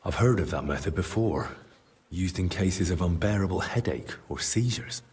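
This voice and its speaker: An actor playing a blunt, probing young male investigator in a video game cutscene, speaking in a measured, matter-of-fact way. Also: low-pitched, expressive, darkish tone, slightly rough, heavily acted. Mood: pensive, observant.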